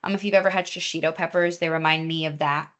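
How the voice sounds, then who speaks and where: A woman's voice: sits low, steady tone, quick pace, a bit bright. A young adult woman, a dietitian, leading a live online cooking class in a chatty, friendly way with many fillers, recorded over a video call. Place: home or teaching kitchen.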